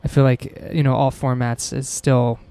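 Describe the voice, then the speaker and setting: Flat-voiced laid-back young man. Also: medium pitch, normal speed, clear, resonant tone, no room sound. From Silver Spring, Maryland, US. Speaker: band member.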